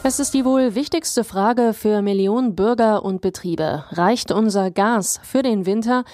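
A woman reading news items in a measured, serious scripted style. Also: medium pitch, dark tone, soft background music. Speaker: news presenter.

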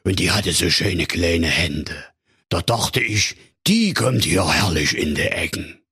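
Give me a big friendly dragon with a Saxon accent, played by a man, deep, raspy and slow. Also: sing-song, bright, forceful tone, full character voice. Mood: cosy, chummy. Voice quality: gravelly, breathy. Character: huge gravelly dragon turned sweet and chummy.

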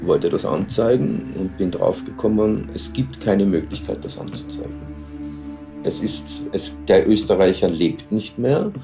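A man's voice: low-pitched, animated, measured pace, gravelly, music in the background. An Austrian man with an Austrian German accent, telling agitatedly of his dealings with the police. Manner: agitated storytelling, reenacts exchanges. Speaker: interviewee, friend of victim.

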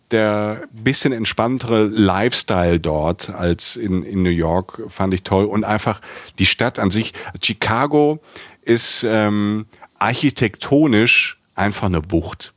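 A man's voice: lowish voice, no room sound, studio quality. A man co-hosting a casual travel chat, talking enthusiastically and rambling with many restarts and fillers. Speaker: podcast co-host.

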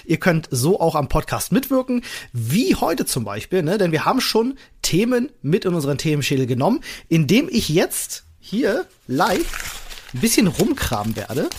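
Upbeat, chatty man with a higher voice. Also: huge pitch swings, moderate pace, breathy edge. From Berlin, Germany. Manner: upbeat and chatty, laughs often. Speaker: podcast co-host. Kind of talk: casual chat.

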